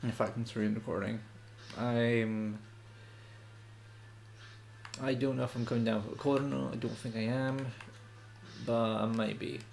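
A stuffy-nosed man giving relaxed, chatty commentary while playing a video game. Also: mid-range voice, measured pace, vocal fry, long pauses. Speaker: let's play host. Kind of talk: casual gameplay commentary.

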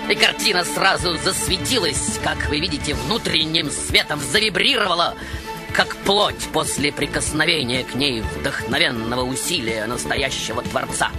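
Man acting a theatrical showman composer, fairly high male voice, grandiose, ironic, dramatic monologue, clear music behind. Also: moderate pace, natural voice. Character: theatrical showman playing a Baroque composer telling his own life. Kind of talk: theatrical monologue, radio show narration.

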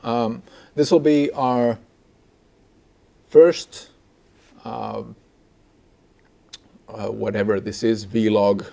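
Slow, hesitant man. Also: on the low side, fairly even intonation, gravelly, mellow. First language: Finnish. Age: 40s. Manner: hesitant, halting. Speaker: podcast co-host. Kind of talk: casual film discussion. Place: small indoor stage with curtains, seated at a table.

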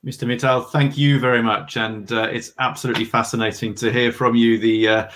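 A man's voice: mid-range voice, little pitch movement, brisk, fairly bright tone, slight background noise. A man in his thirties moderating an online panel, polite and repeating words as he starts his sentences. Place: indoor room. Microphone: video call.

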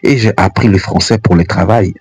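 A man's voice: deep voice, a little fast, gravelly, darkish tone, breathy edge, no echo. An African man whose first language is not French, reading an earnest, admonishing voice-over commentary for a religious channel. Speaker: Islamic channel narrator.

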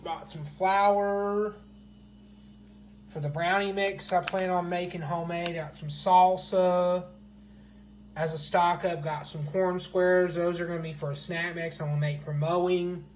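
A man's voice: high voice, steady tone, unhurried. A man casually showing his grocery haul item by item, speaking in a plain, matter-of-fact way into a handheld phone camera at home. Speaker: vlogger. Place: small indoor room at home. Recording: echoey room with faint hiss.

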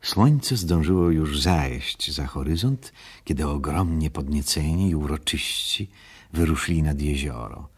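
Storybook narrator for a children's radio play: very low-pitched, husky, unhurried. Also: male voice, full character voice. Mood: calm narrating.

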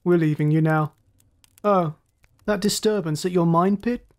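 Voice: mid-range voice, measured pace, close to an everyday voice. Smug, cynical space pirate villain read by a narrator of unclear sex. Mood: smug, mocking. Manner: mocking, smug, menacing courtesy. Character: cynical swarthy giant pirate ship master, gloating. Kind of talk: science fiction storybook villain dialogue.

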